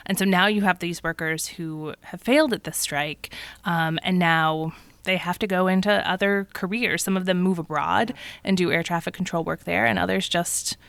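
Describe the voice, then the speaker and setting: A woman's voice: fairly low pitch, moderate pace, creaky, fairly bright tone, dry recording. A woman co-hosting a history podcast, explaining events at length with frequent ums and checking in with her co-hosts. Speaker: co-host. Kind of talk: conversational history podcast discussion.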